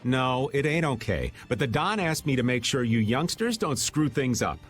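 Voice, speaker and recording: A sly older American rogue from an anime video game, acted by a man, folksy and teasing. His pitch swings wildly and theatrically from line to line, with music underneath. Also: big pitch swings, moderate pace, lightly acted. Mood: wheedling.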